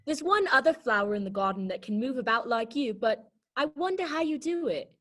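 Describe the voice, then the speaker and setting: A woman's voice: fairly high pitch, lively intonation, fairly quick. A woman voicing a snooty, blunt talking garden flower in a children's read-aloud play, condescending and snippy in manner.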